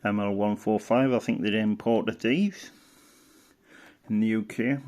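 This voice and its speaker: A man giving casual, unscripted hobbyist commentary, halting and muttering as he browses, often trailing off. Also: fairly low pitch, steady tone, a little mellow. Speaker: hobbyist presenter.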